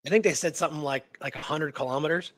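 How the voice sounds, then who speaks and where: A man with a slightly high voice tells a true story as a radio host. His delivery is colloquial and earnest, fully absorbed in the details. The sound is dry and close. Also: quick pace, fairly bright tone, no room sound. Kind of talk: casual historical storytelling.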